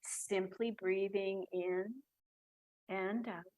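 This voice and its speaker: A woman leads a guided breathing meditation, speaking very slowly and softly with long pauses between phrases. Her voice sits at a middle pitch and stays calm throughout. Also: rough recording.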